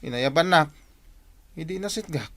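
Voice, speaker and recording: Man playing a flustered, animated village uncle. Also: on the high side, normal speed, dry recording, studio quality. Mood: complaining. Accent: Filipino. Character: slow-witted, easily flustered uncle who works as village watchman. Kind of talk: comedic Filipino radio drama acting.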